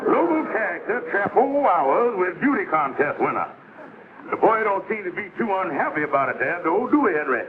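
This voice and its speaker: A man's voice: high-pitched, very animated, normal speed. A man playing a wry, needling, scheming pal with a folksy, exclamatory delivery in a 1950s American sitcom. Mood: wry gossip. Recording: very noisy, phone-like vintage TV soundtrack.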